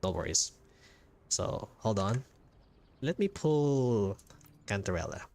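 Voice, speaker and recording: A man giving casual livestream commentary as a game streamer, speaking haltingly and repeating phrases for emphasis. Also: lowish voice, very animated, gravelly, darkish tone. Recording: game music behind the voice. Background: game music.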